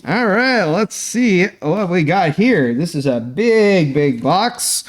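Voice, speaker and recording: A young Canadian man chats his way through opening packages from fans, his high voice leaping up and down. He takes his time, full of fillers, restarts and sudden bursts of excitement. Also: takes it slowly. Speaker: channel host opening fan mail. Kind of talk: casual unboxing chat.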